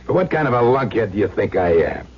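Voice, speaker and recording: A man's voice: mid-range voice, expressive, fast talker, lightly acted. An actor playing a crude, taunting blackmailer husband with slangy tough-guy talk, in old-time radio drama style. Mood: smug menace. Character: crude blackmailing husband back from presumed death, slangy and menacing. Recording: dull lo-fi radio recording, faint hum.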